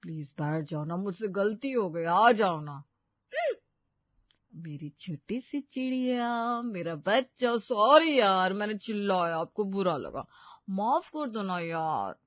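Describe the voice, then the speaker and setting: A woman's voice: medium pitch, very animated, slow pace, dry recording, muffled recording. A woman voicing an embarrassed, henpecked young boyfriend who pleads and soothes with resigned patience in an audio drama. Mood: pleading.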